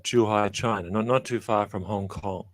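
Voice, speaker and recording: Man, from Australia, middle-aged, low voice, dry sound, measured, many fillers. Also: steady tone, no echo. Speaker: guest, professor. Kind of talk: reflective interview answers.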